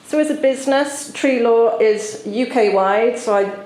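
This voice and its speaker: A woman from South Wales with a Welsh accent, giving a conference talk as a solicitor and explaining with frequent pauses. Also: on the high side, darkish tone, roomy sound, low-quality recording. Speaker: tree law solicitor presenting. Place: conference venue.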